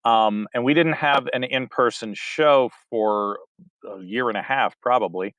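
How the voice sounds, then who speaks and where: A middle-aged man giving a rambling, halting interview answer, full of fillers and restarts. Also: medium pitch, fairly bright tone, no room sound, highs cut off. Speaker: interview guest, theater director. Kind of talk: casual interview talk.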